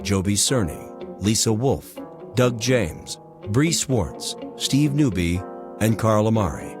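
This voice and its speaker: A man reading scripted radio drama credits as a formal, measured announcer. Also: lowish voice.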